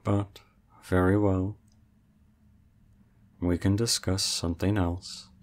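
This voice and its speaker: A man voicing an ancient, aloof male dragon in human form, speaking in a formal, measured and reserved way for an ASMR roleplay. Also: low voice, little pitch movement, slight background noise. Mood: calm, aloof.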